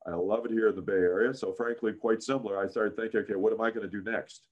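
A man in his sixties with a deep voice, a guest in a casual interview, telling career stories with many "frankly"s and "uh"s. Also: quick pace, muffled recording. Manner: frequent "frankly" and "uh", animated anecdotes. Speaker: interview guest, business founder.

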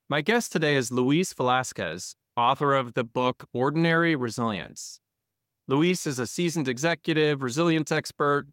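A male host reading a prepared introduction in a measured way, then asking interview questions. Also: mid-range voice, fairly bright tone, no room sound, studio quality. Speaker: podcast host.

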